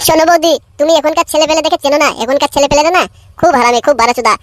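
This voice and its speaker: A man's voice: very high-pitched, very fast, very noisy. A man voicing a placating, blunt henchman of a local politician in a comic cartoon. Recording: music behind the voice.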